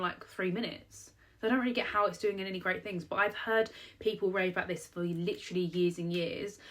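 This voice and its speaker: A young British woman vlogger with a cold, sounding slightly nasal and bunged up, chatting casually to camera about her shopping haul with lots of "um" and "like". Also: medium pitch. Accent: British English. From England. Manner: chatty, rambling, many "um" and "like". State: blocked nose from a cold.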